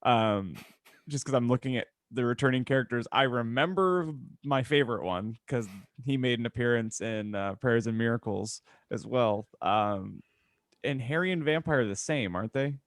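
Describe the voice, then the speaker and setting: A man's voice: medium pitch, normal speed, no room sound, studio quality. A man co-hosting a comedy podcast in casual, joking chat, given to trying out voices. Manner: joking, prone to putting on voices. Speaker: podcast host.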